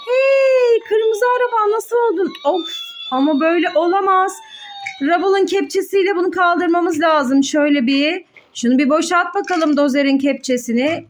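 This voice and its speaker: A woman's voice: very high-pitched, normal speed. A woman, a mother, narrating toy play for children, encouraging and exclamatory as she talks to her small child and to viewers. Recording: light background noise with clicks.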